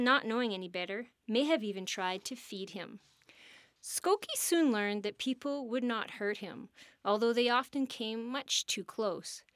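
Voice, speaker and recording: A woman reading a scripted educational story as a park interpreter, with expressive, deliberate phrasing suited to families. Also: on the high side, no echo, studio quality.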